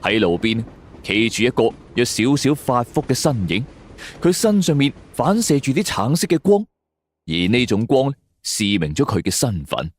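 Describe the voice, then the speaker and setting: A man narrates a suspense story in the first person, in a theatrical, deliberate way. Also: medium pitch, sing-song, slight background noise. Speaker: storyteller narrating audio drama. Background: background music.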